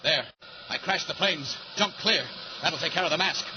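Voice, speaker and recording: An actor playing a bold flying superhero in a 1940s radio drama, talking to himself in urgent clipped phrases. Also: male voice, on the high side, sharp tone. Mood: determined. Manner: urgent, clipped, narrating own actions. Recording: noisy muffled 1940s radio, rushing sound.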